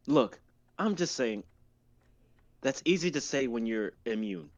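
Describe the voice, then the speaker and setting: A man's voice: mid-range voice, lively intonation, measured pace, lo-fi sound, lightly acted. Casting: male actor as brooding twenty-something artist, doubtful little brother, very varied intonation, amateur drama read. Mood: guarded, doubtful. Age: young adult. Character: Damien, brooding 22-year-old tortured artist type, doubtful younger brother. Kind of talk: amateur dramatic script reading on a livestream.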